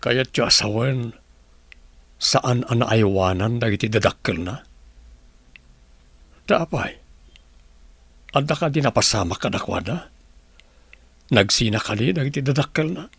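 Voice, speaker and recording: A man's voice: medium pitch, animated, a little slow, vocal fry, long pauses, a bit bright, slightly rough, no room sound, full character voice. A man playing an earnest, anguished doctor in a radio drama, with solemn, pleading delivery. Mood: insistent, questioning. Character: anguished adult male doctor, earnest, insistent. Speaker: voice actor.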